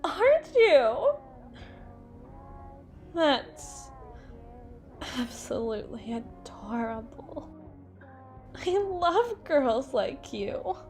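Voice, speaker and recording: A woman's voice: high-pitched, very animated, very slow, long pauses, a little mellow, soft background music, exaggerated voice. A woman performing an ASMR roleplay as a drunken, teasing, domineering female boss, rambling and stumbling over her words. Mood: teasing, smug.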